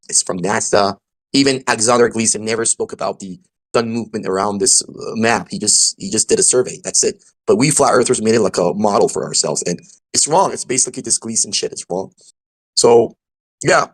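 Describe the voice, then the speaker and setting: Male debater, rapid delivery, presses his point over and over. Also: fairly low pitch. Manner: fast, insistent, repeats himself. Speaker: debate participant. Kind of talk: heated online debate.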